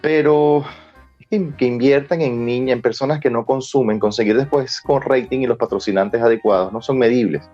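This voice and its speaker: A man's voice: mid-range voice, moderate pace, dark tone, highs cut off, soft background music. A man with a Venezuelan accent giving conversational, explanatory answers as an interview guest.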